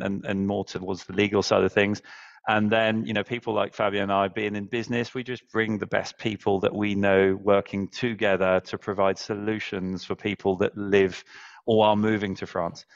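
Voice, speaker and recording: Guy in his 30s on a webinar, low flat gravelly voice, dull call audio, lots of ums. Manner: fluent, many restarts and fillers, earnest. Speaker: relocation business founder, panel guest. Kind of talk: webinar panel talk. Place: indoors, virtual background. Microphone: headset mic on video call.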